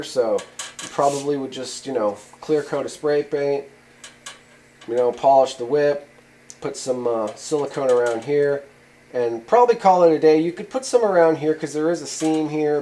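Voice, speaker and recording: A man's voice: medium pitch, a little mellow, slight background noise. A man giving a casual, rambling how-to demonstration at a workshop bench, with many "uh" and "you know" fillers. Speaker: channel host showing radio antennas.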